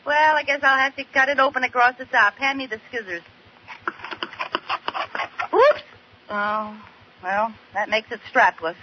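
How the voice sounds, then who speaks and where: An actress playing a scatterbrained, stubborn young American housewife with comic theatrical delivery in 1940s radio comedy style. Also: female voice, sits high, measured pace, pauses often. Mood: dismayed. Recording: noisy, muffled old radio recording.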